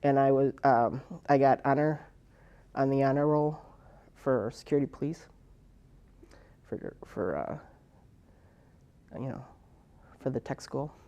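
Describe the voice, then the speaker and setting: Rambling, mellow-voiced woman veteran. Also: extremely low pitch, measured pace, pauses often, lo-fi sound. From United States. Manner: rambling, with many fillers and restarts. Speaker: Air Force veteran interviewee. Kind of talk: personal oral history interview.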